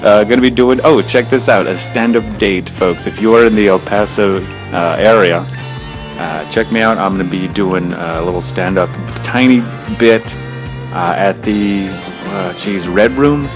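A man's voice: on the low side, measured pace, music in the background. A man hosting a podcast, rambling casually with lots of fillers. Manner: rambling, filler-heavy, laid-back. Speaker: podcast host.

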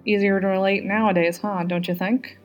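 Storybook male played by a woman: wry, exasperated, teasing, quiet music underneath. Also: mid-range voice, fairly even intonation, fairly quick, close to an everyday voice. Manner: wry, pleading, joking. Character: exasperated, tipsy, teasing Canadian man. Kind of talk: audiobook character dialogue.

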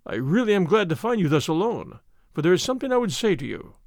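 A man's voice: high voice, sing-song, fast, a little mellow, no room sound, studio quality. A man voicing an arrogant, pushy army captain in an audiobook, suave and menacing, with a commanding, sneering manner.

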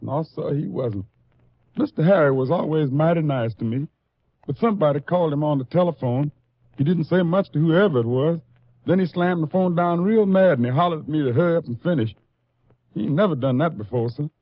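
A man's voice: on the high side, fairly quick. Meek, pleading working man, old radio. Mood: nervous, respectful. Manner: pleading, meek, folksy uneducated speech. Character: frightened, deferential car lot worker under police questioning. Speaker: actor in a radio drama. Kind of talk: 1950s radio drama acting. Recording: muffled 1950s radio recording, slight room echo.

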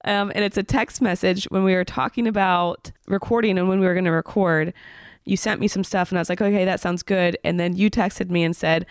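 A woman co-hosting a podcast, chatting casually with a friend, playful and teasing and quick to giggle. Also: fairly low pitch, steady tone, smooth, no echo. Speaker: podcast co-host.

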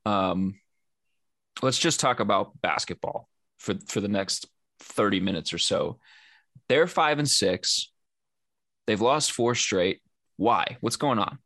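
A man's voice: fairly low pitch, slow pace, pauses often, bright, forceful tone, no room sound, clean studio sound. A man hosting a sports podcast, talking in a loose, conversational way with frequent fillers and restarts. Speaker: podcast host. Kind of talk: casual sports podcast chat.

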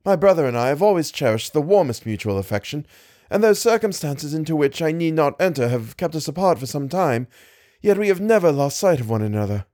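A man voicing a distressed young Victorian woman who pleads in a low, murmuring voice with formal, ornate speech. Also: expressive, a little fast, warm tone, no room sound, studio quality, lightly acted. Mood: earnest.

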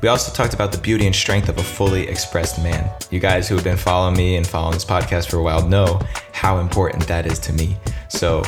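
A man with a very low, deep voice hosts a podcast with earnest enthusiasm, heaping praise on his guest. Music plays clearly beneath his words. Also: fairly even intonation, fast, few pauses. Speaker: podcast host. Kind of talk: podcast intro and interview.

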